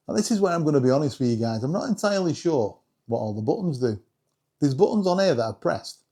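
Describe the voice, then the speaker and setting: Male vlog host, lively swooping intonation, soft mellow tone, chatty and self-mocking. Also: medium pitch. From England. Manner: self-deprecating, jokey, addresses viewers directly. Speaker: hobbyist video creator and host. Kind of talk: casual chatty vlog presentation.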